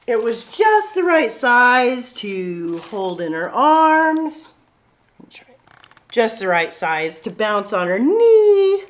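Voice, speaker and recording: A woman's voice: fairly high pitch, big pitch swings, very slow. A woman hosting a children's story time, talking and reading aloud expressively to young listeners.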